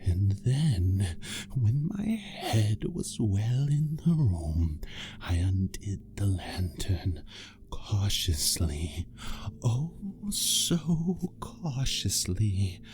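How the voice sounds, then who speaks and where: A performer voicing a nervous, insistent madman murderer in gothic horror storytelling, halting and theatrical, often dropping into breathy whispers. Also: low voice, sing-song, a little mellow, slight background noise, heavily acted. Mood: hushed, cunning.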